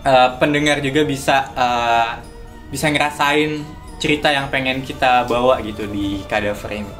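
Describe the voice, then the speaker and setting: A man speaks. He uses casual slang, as in a relaxed interview about his creative work. Light music plays behind him. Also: mid-range voice, moderate pace. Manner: informal, colloquial Jakarta slang. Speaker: audio drama producer and writer.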